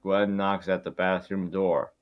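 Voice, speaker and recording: Male amateur narrator, low register, casual and stumbling table read delivery, lo-fi sound with music underneath. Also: little pitch movement, unhurried, clear, resonant tone. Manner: informal, stumbling over the script.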